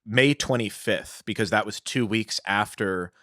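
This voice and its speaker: Male podcast co-host, lowish pitch, informal explainer in casual chat, dry studio-like sound without room echo. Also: unhurried, bright, forceful tone. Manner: informal, explains trivia in detail.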